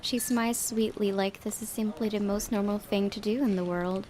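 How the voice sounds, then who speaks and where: A woman reading a visual novel game aloud in an expressive, giggly way, with casual reactions. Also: mid-range voice, normal speed, noisy background. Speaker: let's-play host.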